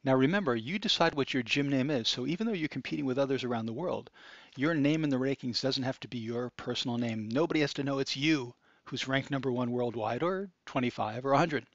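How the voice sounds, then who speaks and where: A man's voice: medium pitch, brisk, dry recording. A man narrating a screencast walkthrough of a website, explaining each click step by step in an upbeat manner. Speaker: product demo presenter. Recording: dry, slightly dull sound.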